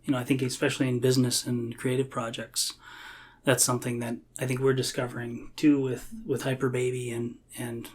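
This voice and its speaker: A man's voice: medium pitch, flat delivery, brisk, a little hiss or hum. A man co-hosting a podcast, speaking in casual conversational discussion. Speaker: podcast co-host.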